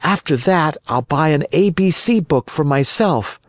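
A man's voice: fairly high pitch, lively intonation, unhurried, no echo, studio quality, voice pushed up. A man voicing a naive, earnest little puppet boy from a storybook, guileless and eager in manner. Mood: proud, eager.

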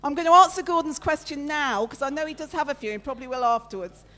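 Lively woman preaching, loud voice. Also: sits high, fast talker. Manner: loud, lively, chatty with the congregation. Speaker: preacher giving a talk at church. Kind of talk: interactive sermon with slides. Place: church meeting room with listeners present.

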